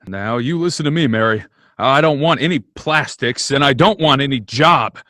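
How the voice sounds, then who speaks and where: A man's voice: mid-range voice, animated, moderate pace, bright, forceful tone, dry recording, studio quality. A man playing an earnest, hot-tempered young small-town man in a radio drama, emphatic and impassioned. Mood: defiant. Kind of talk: old-time radio drama acting.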